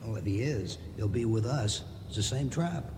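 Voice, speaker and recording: A man acting a quiet, reasoning captive crewman in a radio drama. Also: on the low side, animated, moderate pace, in a large hall, slight background noise, close to an everyday voice. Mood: cautious.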